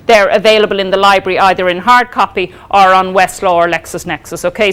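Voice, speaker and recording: A woman with an Irish accent giving a law lecture to a class, talking loudly and informally, often checking in with "okay?". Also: fairly high pitch. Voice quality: loud, carrying voice. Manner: chatty, checks in with frequent "okay?". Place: lecture room.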